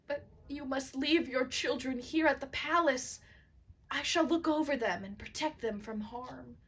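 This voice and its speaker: Woman acting a high-voiced dutiful royal courtier. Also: unhurried, fairly bright tone, husky, heavily acted. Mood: solemn, wry. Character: formal male royal courtier, dutiful, concerned, slightly wry. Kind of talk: amateur puppet show acting.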